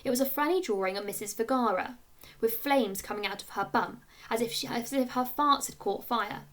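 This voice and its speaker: A woman narrates a children's book in a fairly high voice. She reads like a teacher to pupils, expressively and with storytelling emphasis. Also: a little fast, fairly bright tone. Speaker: teacher reading aloud.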